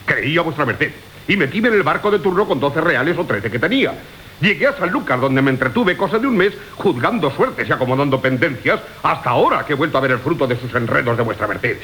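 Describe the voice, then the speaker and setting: An actor playing a boastful, wily rogue in a classical comedy radio play, florid and mock-courteous. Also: male voice, fairly high pitch, animated, a little fast, pushed and bright. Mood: indignant boasting. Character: boastful, wily swaggering rogue of old Seville. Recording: hissy old radio recording.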